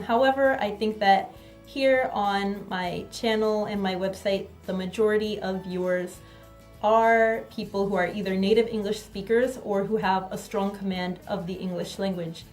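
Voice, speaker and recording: Woman explaining calmly to camera. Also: medium pitch. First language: not Korean. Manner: clear, friendly and deliberate. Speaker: language teacher. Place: sitting indoors. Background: faint background music.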